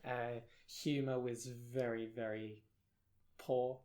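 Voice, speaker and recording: A man's voice: medium pitch, little pitch movement, slow, darkish tone. A young man from South Africa with a British accent, co-hosting a casual podcast chat in a rambling, self-deprecating way with many fillers. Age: young adult. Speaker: podcast co-host.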